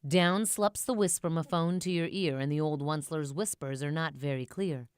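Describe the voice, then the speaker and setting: A woman reading a rhyming children's storybook aloud in a rhythmic, theatrical, expressive way. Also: sits low, moderate pace, clear, resonant tone, no echo, studio quality. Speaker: storybook reader.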